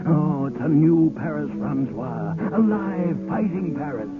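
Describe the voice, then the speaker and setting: On a tinny old radio broadcast with loud music, a fervent, loyal tavern rebel. His voice is fairly high and he declaims with excitement, in vintage radio drama manner. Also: unhurried. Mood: excited, fervent. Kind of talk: 1930s-40s radio acting.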